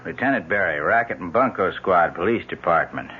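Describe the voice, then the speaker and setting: Husky radio drama police lieutenant. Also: male voice, low-pitched, lively intonation, fairly quick. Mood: businesslike. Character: blunt, matter-of-fact male police lieutenant on bunco squad. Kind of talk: old-time radio drama acting. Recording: dull vintage radio recording.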